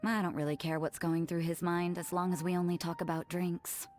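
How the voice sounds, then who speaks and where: A woman playing an aloof, world-weary young nun in a video game, blunt, dry and deadpan. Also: fairly low pitch, fairly quick, close to an everyday voice. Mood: blunt, unbothered. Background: music.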